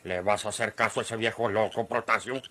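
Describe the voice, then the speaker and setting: A man's voice: lowish voice, steady tone, fairly quick, sharp tone. An actor playing a shrewd, cornered ranch man in a Mexican radio drama, middle-aged, persuasive and defiant, with a rural Mexican accent. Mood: defiant persuading. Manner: earnest, persuasive, rustic speech.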